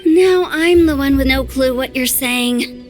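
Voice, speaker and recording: A high, teasing mischievous girl. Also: measured pace, soft background music. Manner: teasing, sly. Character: playful young woman, teasing and mischievous. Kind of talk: game voice acting.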